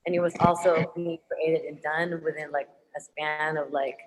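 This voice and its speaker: A woman's voice: deep voice, flat delivery, normal speed, in a large hall, a little hiss or hum, poor audio. A woman whose first language is Tagalog, an artist answering an audience question on a panel, hesitant and full of ums and restarts. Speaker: muralist, visual artist panelist.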